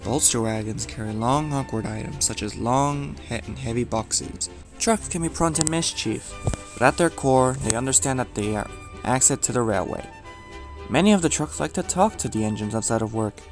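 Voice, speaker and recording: A man narrates a scripted horror tale in a medium-pitched voice. He delivers it as a storyteller, measured and with dramatic emphasis, while music plays clearly behind him.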